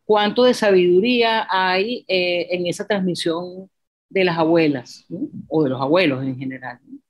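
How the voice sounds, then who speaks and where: A woman's voice: fairly low pitch, moderate pace, no echo, lo-fi sound. A woman leading a group discussion over a video call, speaking in a reflective and inviting way.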